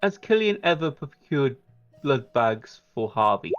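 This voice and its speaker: A man's voice: mid-range voice, sing-song, measured pace, fairly bright tone, highs cut off, soft background music. A man improvising in a tabletop role-playing game. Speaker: tabletop role-play player.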